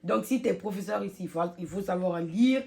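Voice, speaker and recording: A woman in a comedy sketch, mocking and teasing with quick retorts. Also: mid-range voice, vocal fry, warm tone, a little hiss or hum. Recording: roomy sound with a group of voices around.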